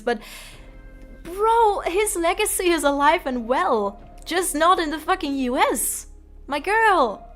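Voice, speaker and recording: A woman's voice: mid-range voice, a bit bright. A woman giving opinionated, casual video commentary in an informal, emphatic way with some swearing. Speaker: video essayist.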